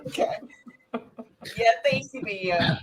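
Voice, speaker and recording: A woman's voice: on the high side, slow pace, poor audio. A woman hosting a casual group show in a giggly, playful way, introducing and bantering. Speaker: host introducing the cast of an amateur table read.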